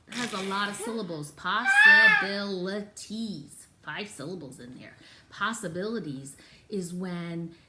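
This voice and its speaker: A woman reads a picture book to young children in a highly animated, expressive teaching style. She stretches out key words and invites the listener to respond. Her mid-pitched voice rings in an echoey room with faint noise behind it. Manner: exaggerated, expressive teaching delivery with drawn-out words and gasps. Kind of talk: interactive read-aloud for young children.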